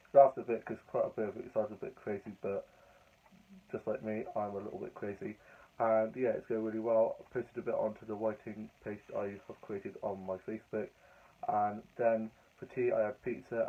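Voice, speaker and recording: A young man with a hoarse, croaky voice talks on and on in a cheerful, rambling way. His voice sits low and flat, with little rise and fall. The sound is thin and tinny, like a phone recording. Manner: chatty, rambling, upbeat. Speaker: vlogger. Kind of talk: casual vlog chat.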